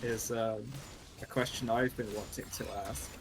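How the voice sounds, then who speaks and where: A man at an ordinary middle pitch casts a live online game with a co-caster. He talks loosely, full of ums and uhs, and slips in dry jokes as the play unfolds. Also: little pitch movement, unhurried, vocal fry, music in the background. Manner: casual, filler-heavy, wry. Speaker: esports caster.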